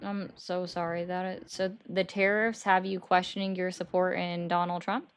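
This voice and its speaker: A woman's voice: fairly low pitch, flat delivery, clear, resonant tone. A woman hosting a political call-in livestream, arguing bluntly with callers and mocking them. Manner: blunt, argumentative, quick to mock. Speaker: livestream host taking callers.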